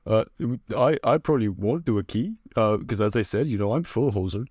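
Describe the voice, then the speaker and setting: A man's voice: on the low side, animated, fairly quick, a little mellow, dry recording, studio quality. A man playing a hesitant, rambling undercover Canadian cop in an improvised comedy sketch. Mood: nervous, hesitant. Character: bumbling, apologetic undercover Canadian cop posing as a new guy.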